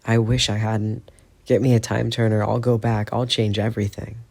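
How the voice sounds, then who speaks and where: A man's voice: lowish voice, level tone, normal speed, creaky, a little mellow. A man voicing a defiant, guilt-ridden teenage boy who talks defensively and stammers when upset. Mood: remorseful.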